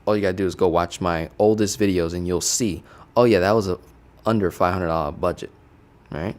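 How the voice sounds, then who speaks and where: A man's voice: sits low, fairly quick, darkish tone. A man casually walking viewers through startup costs as a finance educator, playful and chatty, constantly checking in with "right?". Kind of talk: casual teaching walkthrough. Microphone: studio desk mic.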